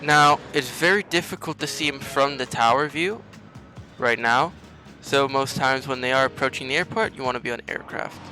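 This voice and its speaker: A man's voice: mid-range voice, moderate pace, bright, forceful tone, soft background music. A man hosting a gaming video, explaining a game step by step in tutorial-style commentary.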